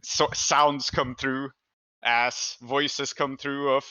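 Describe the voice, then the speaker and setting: Bright, dry-sounding male game master. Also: medium pitch, level tone, clean tone, no echo, poor audio. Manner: halting, conversational, thinking aloud. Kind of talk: improvised tabletop game narration.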